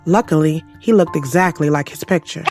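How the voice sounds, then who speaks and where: A woman's voice: sits low, brisk, darkish tone, faint music behind. A woman playing a frank, sassy young single woman in an audio drama, conversational, slangy and wry. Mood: wry, exasperated. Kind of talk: first-person audio drama narration and dialogue.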